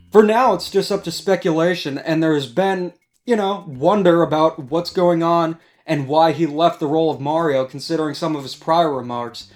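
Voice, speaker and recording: A man's voice: a little high, a little mellow. A man hosting a casual discussion, giving commentary over background music. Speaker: host. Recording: music playing behind the voice.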